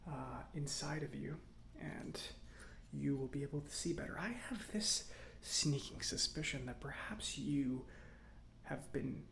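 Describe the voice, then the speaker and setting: Soft ASMR robot mechanic, acted by a man: near-whispering, slightly high male voice with gravelly fry, chatty and smug, musing at leisure. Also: slight background noise. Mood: focused, pleased, then gently scolding. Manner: unhurried, hesitant musing with pauses. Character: chatty, self-satisfied government robot mechanic, gently scolding. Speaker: ASMR roleplay performer. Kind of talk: soft-spoken ASMR roleplay.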